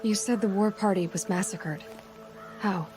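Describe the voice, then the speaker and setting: A woman's voice: mid-range voice, a little slow, natural voice. Actress doing young huntress girl from a game, guarded, direct, asks questions, music playing behind her. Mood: inquiring, direct. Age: young adult. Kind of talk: video game voice acting.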